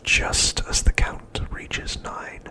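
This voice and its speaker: A man narrating an ASMR recap in a soft, breathy, unvoiced whisper, hushed and unhurried. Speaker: ASMR host.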